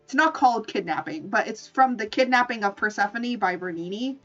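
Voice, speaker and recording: High-voiced woman streamer, muffled and distant. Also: lively intonation, brisk, a bit bright, soft background music. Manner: playful, informal, swears freely. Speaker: streamer, VTuber artist. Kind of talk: casual livestream chat showing drawings.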